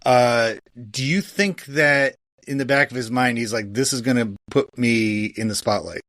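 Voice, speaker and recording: Male podcast host, mid-pitched and conversational, informal history chat, dry recording. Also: moderate pace, a bit bright, no room sound. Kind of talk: casual conversational history discussion.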